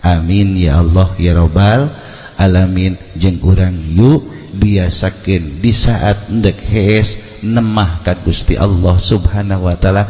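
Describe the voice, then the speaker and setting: A man's voice: sits low, fairly even intonation, slow pace, warm tone, a little hiss or hum. Reverberant male preacher telling a sermon story. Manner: theatrical, switching between narration and heightened quoted speech. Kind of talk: storytelling sermon to a congregation, acting out dialogue.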